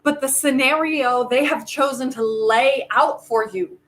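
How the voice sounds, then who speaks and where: A woman playing a grave, conflicted human who holds apocalyptic power, speaking deliberately and philosophically in a play reading. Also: sits high, a bit bright. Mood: grave, musing. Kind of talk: virtual staged play reading.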